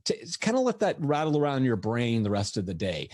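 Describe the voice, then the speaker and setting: A middle-aged man with a slightly raspy, lecture-tired voice, giving an energetic webinar presentation full of rhetorical questions. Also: mid-range voice, fairly quick, no room sound, clean studio sound. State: voice tired from lecturing all morning. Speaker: veterinarian presenter.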